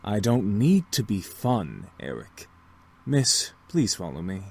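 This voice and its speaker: An actor playing a polite, serious young demon gentleman in a visual novel game, formal and attentive. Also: fairly low pitch, very animated, creaky, husky, full character voice. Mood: stern, then courteous. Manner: formal, courteous, measured. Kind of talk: visual novel character acting.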